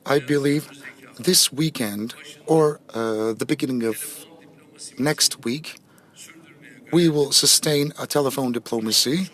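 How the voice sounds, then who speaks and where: A man giving a simultaneous interpretation of a president's answers at a press conference, measured and pausing often with fillers. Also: mid-range voice, fairly bright tone, poor audio. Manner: measured, with frequent pauses and fillers.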